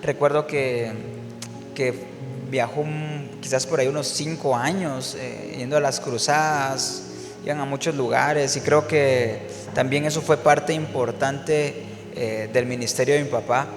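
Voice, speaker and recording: A man from Guatemala, a worship singer telling his story in a relaxed interview, reflective and full of fillers and restarts. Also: medium pitch, a little slow, long reverb tail, over music.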